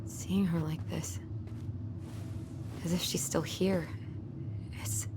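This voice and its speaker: A woman's voice: on the low side, steady tone, slow, background noise. An actress playing a protective, pragmatic young American woman in a dramatic video game, wry and earnest in manner. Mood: quiet, sad. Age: young adult. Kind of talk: naturalistic dramatic game acting.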